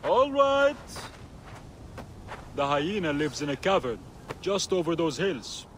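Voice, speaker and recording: An actor playing a sly, joking market trader with a teasing, wheedling manner. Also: male voice, fairly high pitch, big pitch swings, slow, slight background noise. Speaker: merchant.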